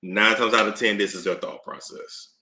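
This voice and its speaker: Man, live-stream commentator, bright lively delivery, roomy echo, emphatic repeater. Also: fairly low pitch, moderate pace. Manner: animated, emphatic, repeats phrases for effect.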